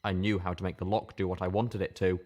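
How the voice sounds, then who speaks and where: A man's voice: deep voice, little pitch movement, quick pace, a little mellow. A man reading scripted first-person fiction aloud as an audiobook narrator.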